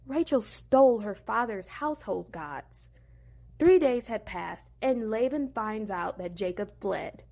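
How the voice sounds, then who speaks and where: A woman's voice: on the high side, creaky. A woman narrating a dramatized Bible story in a casual, slangy, playful way.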